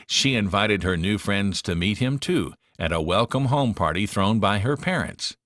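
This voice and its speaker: A man narrating a children's storybook in an expressive, dramatic storytelling manner. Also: lowish voice, normal speed, no room sound.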